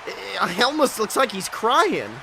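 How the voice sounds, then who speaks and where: A man's voice: sits high, lively intonation, takes it slowly, creaky, noisy background. A man doing dramatic, exclamatory play-by-play wrestling commentary as a podcast host, with a breathless delivery.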